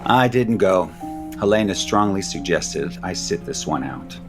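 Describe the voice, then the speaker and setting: An actor playing a worldly, party-loving older board chairman in an audio soap opera, suave and confiding in a measured way. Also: sits low, animated. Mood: wry. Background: music under the dialogue.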